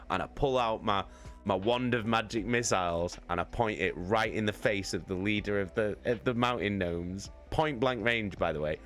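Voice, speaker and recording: Animated, low-voiced man telling a sweary anecdote. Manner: sweary, excitable, emphatic repetition, giggly. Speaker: live streamer telling a story. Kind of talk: casual rambling anecdote.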